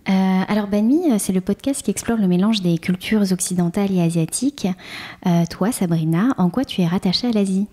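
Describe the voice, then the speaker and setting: Dark-toned woman hosting a chat. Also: medium pitch, brisk. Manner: relaxed, short prompts and murmured assent. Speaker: podcast host. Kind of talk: casual interview.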